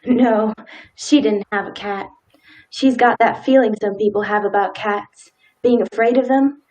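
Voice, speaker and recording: A woman playing a polite, sympathetic small-town wife, gentle and hesitant, in a live radio play. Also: medium pitch, fairly even intonation, measured pace, natural voice, rough recording. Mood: pensive.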